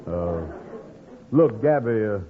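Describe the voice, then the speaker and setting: A dim, worried fellow in a vintage radio sitcom. His low voice drags along hesitantly, heard through a tinny, narrow old broadcast recording. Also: expressive, noisy background. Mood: worried, puzzled. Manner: hesitant, drawling, full of hums and pauses. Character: slow, worried, dim-witted man seeking advice. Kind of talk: 1940s radio comedy acting.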